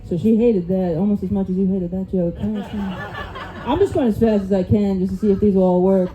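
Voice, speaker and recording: A woman with an American accent doing a stand-up set of quick one-liners on a club stage, with a rambling, self-mocking delivery into a handheld mic. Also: medium pitch, steady tone, warm tone, highs cut off, a faint crowd, a little hiss or hum. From United States. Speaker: stand-up comic.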